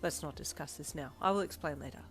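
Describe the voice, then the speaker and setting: A woman's voice: deep voice, fast talker, soft background music, natural voice. A woman voicing a polite, earnest young man, a devoted boyfriend who speaks formally and precisely, in a story.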